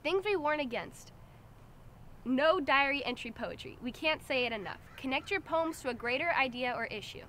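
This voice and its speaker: A teenage girl reads a scripted school announcement outdoors in a bright, upbeat manner. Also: sits high, expressive, moderate pace, a little hiss or hum. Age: teens. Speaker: student announcer. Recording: faint outdoor ambience.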